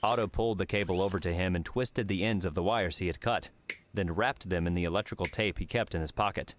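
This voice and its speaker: Low-pitched male audiobook narrator. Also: quick pace, background noise. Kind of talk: scripted narration.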